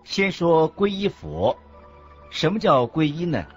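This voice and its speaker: Expressive man narrating, dull recording with music. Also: fairly high pitch, unhurried, slightly rough. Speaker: narrator of a Buddhist radio lecture. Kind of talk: scripted dramatized religious narration. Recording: dull lo-fi audio with background music.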